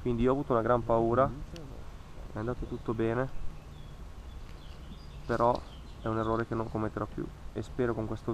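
Earnest Italian-speaking man, gravelly voice. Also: on the low side, a little hiss or hum, rough recording. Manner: earnest, cautionary, repeats words for emphasis. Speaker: fishing vlogger. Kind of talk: reflective voice-over narration. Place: outdoors by a lake among trees.

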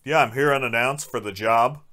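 A man's voice: medium pitch, brisk, pushed and bright, a little hiss or hum. A man recapping a film as a podcast host, with crude, sarcastic wisecracks.

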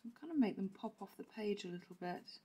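A woman with a croaky voice, chatting through a step-by-step card-making tutorial and clearing her throat now and then. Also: medium pitch, animated, fast talker, warm tone. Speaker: papercraft demonstrator. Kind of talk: casual step-by-step craft tutorial.

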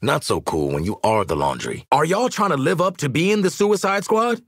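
A man's voice: fairly high pitch, very animated, moderate pace, dry recording, clean studio sound. A man voicing a cocky, wisecracking American assassin in a video game, with a sardonic, punchy delivery. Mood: dry, mocking. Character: cocky, wisecracking male assassin-mercenary, adult sharpshooter. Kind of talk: video game character voice lines.

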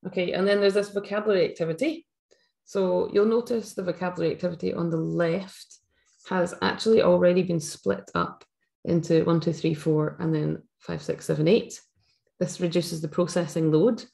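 A woman's voice: fairly low pitch, steady tone, moderate pace, gravelly, dark tone. A middle-aged woman with a Scottish accent presents a teaching webinar over a video call, explaining activities in a friendly way with many fillers. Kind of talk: live webinar talk. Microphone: webcam video call.

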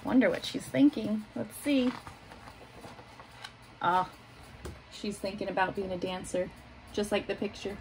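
A woman in her thirties reading a children's picture book aloud in simple, deliberate phrases, adding little asides for young listeners. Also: mid-range voice, darkish tone, low-quality recording. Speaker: school staff member reading aloud to pupils. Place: small home office room, indoors. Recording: noticeable room noise behind voice.

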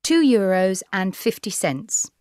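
A British woman voicing a newsstand seller in a language-course dialogue, speaking slowly and clearly. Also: medium pitch, very animated, darkish tone, dry recording, clean studio sound. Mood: helpful. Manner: slow, clearly spelled-out prices.

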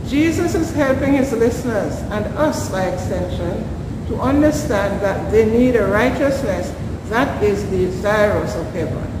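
Older woman priest reading in an echoey church. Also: animated. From Saint Vincent and the Grenadines. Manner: measured, earnest reading, dropping low at verse endings. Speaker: Anglican priest. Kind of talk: Lenten scripture reading and reflection. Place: large church interior, at the altar. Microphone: camera set back from the speaker. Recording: echoey church from a distance, background noise.

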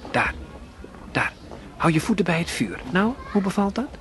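Male reader voicing a gruff old stall keeper for a storybook radio reading, voice lifted higher and louder than his narration, hushed and urging by turns. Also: very animated, moderate pace, a little hiss or hum.

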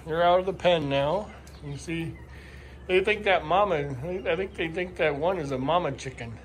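A middle-aged American man with a notably high voice gives a folksy, unscripted tour of farm chores. He chats casually into a handheld phone, with noticeable outdoor noise and clucking poultry around him. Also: moderate pace. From Michigan, United States. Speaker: farm vlogger. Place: open barn and farmyard. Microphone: handheld phone camera. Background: clucking chickens, birds, outdoor ambience.

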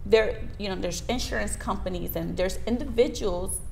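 A woman's voice: mid-range voice, moderate pace, gravelly, a little mellow. Woman, noisy studio recording. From Miami, United States. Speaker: interview guest, lawyer. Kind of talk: conversational interview answers. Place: small indoor video studio, seated at desk. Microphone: lapel mic.

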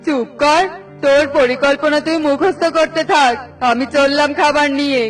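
Selfish male cartoon bird, very high pitch, scornful, scolding, music behind. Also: steady tone, normal speed, a bit bright. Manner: scolding, boastful. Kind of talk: children's cartoon dialogue.

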